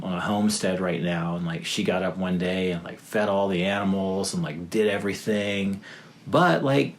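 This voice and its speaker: A man in his thirties with a deep, low voice, speaking as a podcast guest. He rambles loosely, piling up fillers and restarting his sentences. A faint hiss sits under the recording. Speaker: guest, father of three. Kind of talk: casual podcast conversation.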